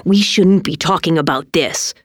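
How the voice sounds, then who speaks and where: A woman's voice: low voice, fairly even intonation, takes it slowly, no echo, studio quality. A woman voicing a stern, irritated, accusing father in an audiobook story. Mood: irritated, warning. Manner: stern, accusing, growling warnings.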